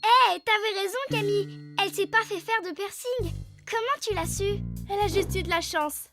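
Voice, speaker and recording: A woman's voice: extremely high pitch, moderate pace. A woman voicing a bossy, sarcastic little schoolgirl in a children's cartoon, with a French accent. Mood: sceptical. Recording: music behind the voice.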